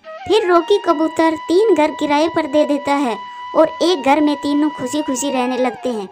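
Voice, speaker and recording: High-pitched woman narrating a story. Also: expressive, moderate pace. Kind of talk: scripted cartoon story narration. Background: clear background music.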